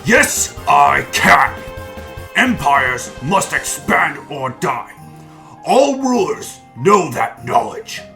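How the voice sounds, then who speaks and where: A man voicing a ruthless, arrogant conqueror villain in an amateur dramatic reading, gravelly and full of grandiose threats. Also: fairly high pitch, very animated, drawn-out words, sharp tone, full character voice. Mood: grandiose fury. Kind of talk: amateur dramatic reading of comic fan fiction. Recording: music under the voice.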